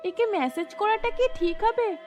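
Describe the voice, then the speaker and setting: Very high-pitched pleading teenage schoolgirl. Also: a little slow, a little mellow, faint music behind. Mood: wary curious. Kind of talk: Bengali cartoon voice acting.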